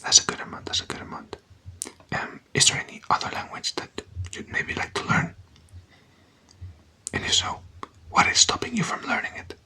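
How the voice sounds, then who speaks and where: Casting: ASMR whisperer, very bright and breathy, casual and playful, conversational questions to the listener. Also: moderate pace. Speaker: ASMR content creator.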